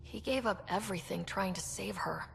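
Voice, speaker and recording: A woman's voice: mid-range voice, normal speed, gravelly, long reverb tail, soft background music. An actress playing a young woman explorer in a video game, wry and easygoing, with a dry sense of humor. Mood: subdued. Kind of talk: video game cutscene acting.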